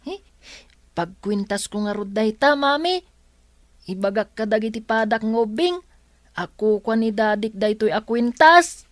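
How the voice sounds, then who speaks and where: An innocent little schoolchild in a radio drama. The voice is high and slow, with simple questioning phrases and an Ilocano Filipino accent. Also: female voice, animated, no room sound. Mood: curious, pleading.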